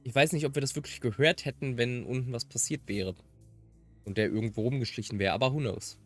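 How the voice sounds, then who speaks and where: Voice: mid-range voice, quick pace, soft background music. A German native speaker giving casual gaming commentary, slipping between German and English. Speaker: let's player. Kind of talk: gaming commentary mixed with voiced read-aloud of dialogue.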